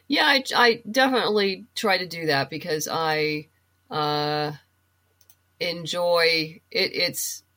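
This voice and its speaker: A woman's voice: on the low side, takes it slowly, bright, forceful tone. A woman being interviewed as a podcast guest, explaining things thoughtfully in a casual, conversational way.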